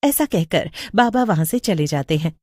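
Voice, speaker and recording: A woman's voice: on the low side, animated, normal speed, darkish tone, no room sound. A woman narrating a scripted story as a storyteller.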